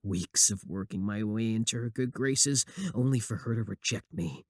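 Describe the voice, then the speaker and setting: A man's voice: on the low side, moderate pace, a little mellow, no room sound. Man acting a possessive young admirer, close and dry. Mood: cold, resentful. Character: possessive, obsessive young male student, sweet yet menacing admirer. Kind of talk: scripted ASMR roleplay acting.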